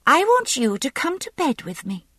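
A woman's voice: sits high, sing-song, normal speed, a bit bright, no echo, studio quality, pitched above the performer's own voice. A woman voicing a spoilt, headstrong aristocratic girl of seventeen in an audiobook, imperious, petulant and insistent. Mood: bold, impatient.

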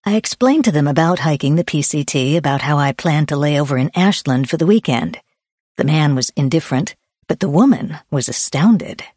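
A woman's voice: low voice, animated, a little fast, darkish tone, slightly rough, no echo, clean studio sound. A woman reading a first-person memoir as a scripted audiobook narration.

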